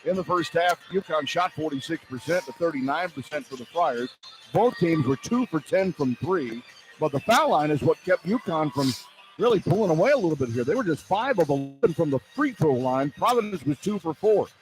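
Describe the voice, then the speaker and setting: A man's voice: fairly high pitch, lively intonation, a little fast, soft background music, rough recording. A man working as a radio play-by-play announcer, giving live halftime sports commentary from inside an arena.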